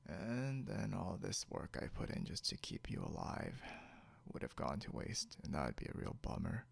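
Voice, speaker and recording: Man voicing a beast-eared male hunter, ASMR roleplay, heavy vocal fry, swooping intonation, grumpy, teasing, drowsy, breathy drawl. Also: wildly swooping pitch, normal speed, full character voice. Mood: grudging, teasing. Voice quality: deep, gravelly, breathy. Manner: drowsy, sardonic, half-whispered drawl with sighs. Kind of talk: ASMR roleplay, intimate monologue to the listener.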